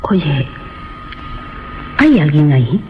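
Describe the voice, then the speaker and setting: A superstitious young call girl in a radio suspense play, speaking with a Spain accent. Her intonation is very lively and her phrasing nervous and repetitive. The sound is dull and lo-fi, with faint music underneath. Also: low voice, drawn-out words, in a large hall, heavily acted. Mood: nervous, suspicious. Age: young adult. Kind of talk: suspense radio drama acting.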